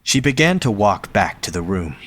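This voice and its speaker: Guy narrating an audio drama story, lowish voice, lots of up and down in it. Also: sing-song, moderate pace, fairly bright tone.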